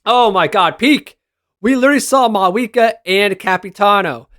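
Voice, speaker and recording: A man's voice: high voice, moderate pace, pushed and bright, no room sound, studio quality. A man giving casual, enthusiastic reaction commentary as a gaming video creator, with slangy exclamations.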